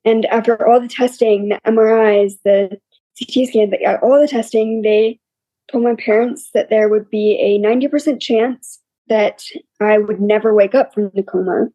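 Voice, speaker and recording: A young woman with a scratchy, quiet voice, openly telling her story as an interview guest in a plain, matter-of-fact way. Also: medium pitch, fairly even intonation, moderate pace, no room sound. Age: young adult. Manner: open and matter-of-fact, listing hardships plainly. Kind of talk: candid personal storytelling.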